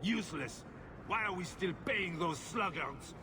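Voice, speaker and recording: High-voiced, pompous, whiny nobleman. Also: animated, a little slow, noisy background. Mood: indignant. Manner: haughty, indignant complaining. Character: pompous, whiny, self-pitying nobleman husband. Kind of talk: video game voice acting. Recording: music behind the voice.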